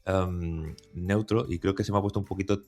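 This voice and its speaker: A man's voice: deep voice, steady tone, moderate pace, darkish tone, soft background music. A man hosting a conversational podcast interview, talking with many hesitations and restarts. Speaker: podcast host.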